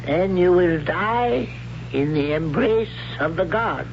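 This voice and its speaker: Stern zealot patriarch, slow and high-pitched. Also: male voice, expressive, vocal fry, exaggerated voice. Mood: stern, solemn. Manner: declamatory, commanding, solemn. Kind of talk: 1970s radio drama acting. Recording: dull, noisy old radio recording with hum.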